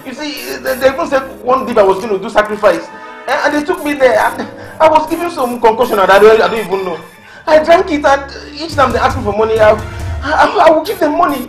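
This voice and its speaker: A man's voice: very high voice, expressive, quick pace, hardly any pauses, roomy sound, faint music behind, low-quality recording. A man with a Nigerian accent playing a desperate young man in debt who pleads and stammers. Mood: pleading. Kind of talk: Nollywood drama acting.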